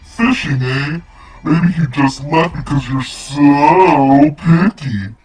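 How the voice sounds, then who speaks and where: A woman doing a deep whiny scruffy monster. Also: takes it slowly, a little mellow, reverberant, distant mic, soft background music, rough recording. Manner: whiny, pushy. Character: scruffy male monster Mac under the bed.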